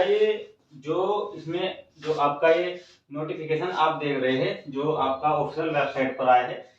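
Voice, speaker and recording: Male, slightly high voice, informal coaching explainer, instructive, repetitive emphasis, heavily echoing room. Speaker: exam coaching host. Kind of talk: informal explainer of exam news for students. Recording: very echoey room, distant mic, dull sound.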